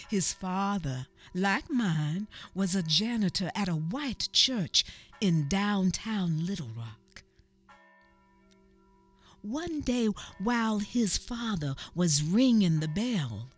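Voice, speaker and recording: Wry grave woman storyteller, as an actress voices her, radio play, lively pitch, faint music behind. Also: no echo. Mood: solemn. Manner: emphatic, with hushed asides and knowing murmurs.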